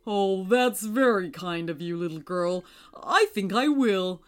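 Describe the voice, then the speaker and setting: A woman as a slow, kindly old man moon. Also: mid-range voice, lively intonation. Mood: gentle, awed. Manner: polite, slow, gently musing.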